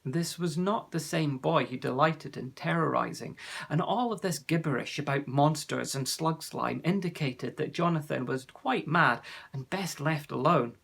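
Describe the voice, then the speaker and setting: A man reading a children's story aloud with expressive storytelling. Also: a little high, normal speed, gravelly, breathy edge. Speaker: narrator.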